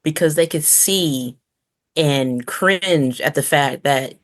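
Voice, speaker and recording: A low-pitched woman talks informally as a podcast co-host. She works out her thoughts aloud in a slightly halting, conversational way. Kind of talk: casual chat.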